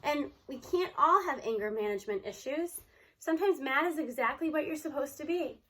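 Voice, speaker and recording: A woman reading a children's novel aloud in an expressive storybook style, acting out the dialogue. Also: sits high, normal speed, rough recording. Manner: animated, acts out the characters' dialogue.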